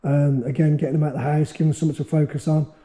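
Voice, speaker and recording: A man with a hoarse, fading voice from a sore throat, hosting a casual workshop vlog and rambling with many fillers. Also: on the high side, flat delivery, rapid-fire, mellow. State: losing his voice, sore throat. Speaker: workshop owner and channel host.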